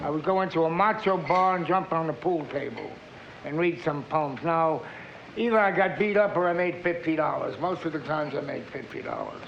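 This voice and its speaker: A man's voice: fairly high pitch, normal speed, gravelly, a bit bright. A man, a poet, speaking in a performative, incantatory way with repeated phrases, as in a recital. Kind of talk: poetry recital and recollection. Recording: very echoey hall, dull, faint noise.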